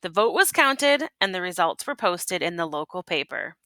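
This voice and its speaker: Bright-voiced woman reading a storybook aloud. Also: fairly low pitch, very animated, moderate pace, no room sound. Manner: teacherly, prompting listeners with questions. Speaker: teacher reading a picture book aloud to children. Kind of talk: expressive read-aloud storytelling with asides and questions.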